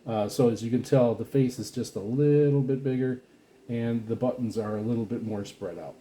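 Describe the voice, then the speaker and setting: Guy doing a casual unboxing walkthrough, really dark soft-edged mellow voice, kinda halting and rambly. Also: medium pitch. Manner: halting, rambling hobbyist explaining. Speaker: radio reviewer and vlogger.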